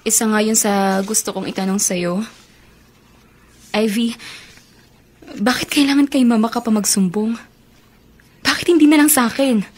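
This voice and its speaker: A woman's voice: a little high, moderate pace, pauses often, a little mellow, close to an everyday voice. A woman acting in a Filipino radio romance drama as a heartbroken, indignant young college student. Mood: hurt, questioning. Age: young adult.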